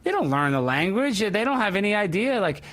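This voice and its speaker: A man's voice: sits high, sing-song, a little fast, fairly bright tone. Brash, joking male talk show host. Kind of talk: opinionated casual talk show banter.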